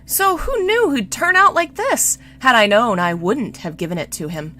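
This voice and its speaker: Gentle royal mother, woman reader, novel narration: soft-spoken, refined, high and melodic, sighing. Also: big pitch swings, normal speed. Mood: wistful. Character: gentle, doting queen, mother of a prince.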